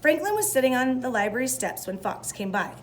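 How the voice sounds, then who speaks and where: A woman reads a children's story aloud in an expressive way. Her voice sits fairly high and swings widely in pitch. There is background noise in the recording. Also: fairly quick. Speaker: storybook reader.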